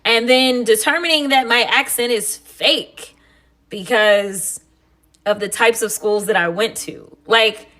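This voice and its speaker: A woman's voice: fairly high pitch, takes it slowly, bright, forceful tone. A woman with a Texan accent talking casually and sassily to her followers in a direct-to-camera social video. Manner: sassy, conversational, addresses viewers as y'all.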